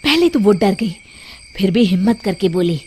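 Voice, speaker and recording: A woman's voice: mid-range voice, lively intonation, moderate pace, dark tone, husky. A woman narrating a scripted children's moral story as a storyteller. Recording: background music under the voice. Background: music.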